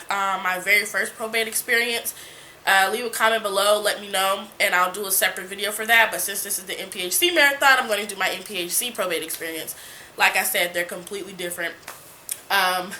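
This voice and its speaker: Young woman vlogger, stuffy cold voice, bright tone, rambling casual storytelling with fillers. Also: medium pitch, slight background noise. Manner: chatty, many fillers and restarts. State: congested from a cold. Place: small indoor room before a folding screen. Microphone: camera in front, seated at mid distance.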